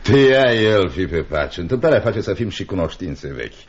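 A man's voice: deep voice, very animated. An actor in a Romanian radio play as a stern, scornful, miserly uncle, a brusque and domineering man of business. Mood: scornful, commanding. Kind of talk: Romanian radio theatre acting. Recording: dull, muffled old radio recording.

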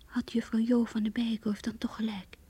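A woman's voice: a little high, flat delivery, brisk, mellow, slightly rough, dry recording. An actress playing an earnest, lovesick young woman who confides her diary in a Dutch radio play. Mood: hurt, sorrowful. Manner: earnest, intimate.

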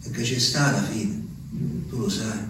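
An actor playing a rustic, agitated peasant in a halting, repetitive stage monologue. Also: male voice, lowish voice, fairly even intonation, lightly acted. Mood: excited. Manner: halting, repetitive, volatile between murmurs and shouts. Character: rustic, agitated Abruzzese peasant man recounting his journey. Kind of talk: theatrical dramatic monologue. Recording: very echoey distant stage recording, faint noise.

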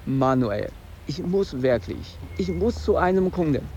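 A man's voice: a little high, big pitch swings, darkish tone, highs cut off, slight background noise. An actor in a German radio drama playing an uneasy shopkeeper who is evasive and placating with his friend. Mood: guarded excuses. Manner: evasive, hurried excuses.

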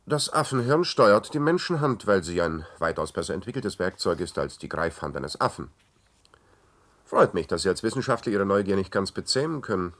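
Deep-voiced, smug institute scientist. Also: male voice, sing-song, moderate pace, a bit bright. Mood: smug, boastful. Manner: urbane, self-satisfied, lecturing. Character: smug, coolly obsessed male scientist running an institute. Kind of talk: 1960s-90s German science-fiction radio drama.

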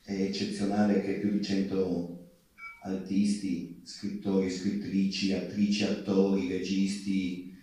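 A man with a dark, lowish voice gives a speech as an activist. He talks very slowly, in short deliberate phrases. The sound is distant and heavily echoing, as in a theatre. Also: level tone. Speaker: ship owner and activist speaker. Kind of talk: public speech to an audience. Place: theatre stage. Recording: very echoey theatre hall, distant mic.

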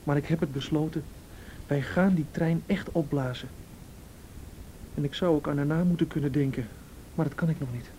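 An actor playing a quietly determined, brooding saboteur in an intimate radio drama, reflective and dryly ironic, with a Netherlands Dutch accent. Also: male voice, moderate pace, lightly acted. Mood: resolute. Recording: noisy radio drama recording, low rumble.

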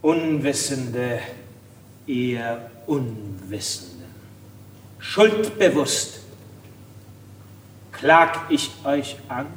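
Casting: a guilt-stricken stage intellectual, solemn and accusing, extremely drawn-out, in a reverberant hall. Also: male voice, mid-range voice, lively intonation. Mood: solemn accusation. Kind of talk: theatrical stage declamation. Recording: distant echoey hall, faint noise.

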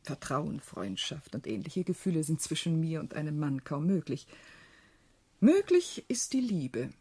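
A shrewd, worldly widow in a German radio drama. Her husky, mellow voice sits on the low side, and she speaks with deliberate, proud self-possession. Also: full character voice. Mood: self-satisfied. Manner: deliberate, expressive, philosophizing. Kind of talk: German radio play acting.

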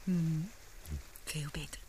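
An actress playing an affectionate, easily frightened young woman scientist in a radio play, with an expressive, emotional delivery. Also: sits low, slow, slight background noise. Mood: tender.

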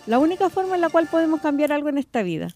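A woman's voice: sits high, sing-song, racing, dark tone, soft background music. A Chilean woman acting an insistent, earnest working-class wife and mother in a colloquial radio drama. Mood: urging, earnest. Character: determined working-class wife and mother, newly politically awakened.

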